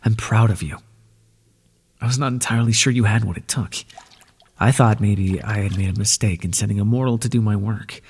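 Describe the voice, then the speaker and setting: A man voicing an ancient god of the underworld in an ASMR roleplay, speaking in a soft, breathy, measured way and reassuring the listener tenderly. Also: on the low side, fairly even intonation, big-room sound. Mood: gentle, soothing.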